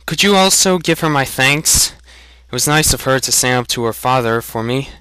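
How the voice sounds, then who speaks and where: Man playing an earnest young farm lad, bright-voiced. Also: medium pitch, expressive, normal speed, clear, resonant tone, no echo, voice pushed up, poor audio. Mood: concerned. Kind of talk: dramatic fantasy audiobook reading.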